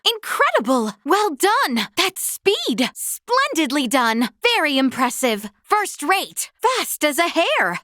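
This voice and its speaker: A woman's voice: high voice, very animated, takes it slowly, few pauses, sharp tone, no echo, studio quality, full character voice. A woman playing a noble young swordswoman in a fantasy video game, earnest and resolute, speaking in formal, archaic phrasing. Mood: pleased, admiring. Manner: formal, archaic, solemn diction. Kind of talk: video game battle voice acting.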